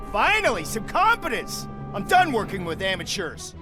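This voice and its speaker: A man's voice: sits high, wildly swooping pitch, moderate pace, music in the background. A man voicing a pompous, exasperated prima donna actor in a video game, haughty and indignant.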